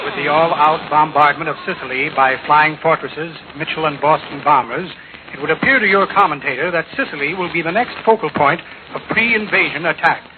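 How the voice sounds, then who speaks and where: Formal war news commentator, grave, 1940s style, car radio effect, narrow-band, noisy. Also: male voice, on the high side, fairly quick. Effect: heard through a car radio.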